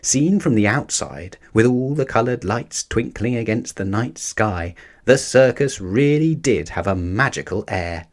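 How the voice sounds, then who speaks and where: A man narrates a picture book for young children. His delivery is that of an expressive read-aloud storyteller, with a middling pitch. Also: a little slow, few pauses.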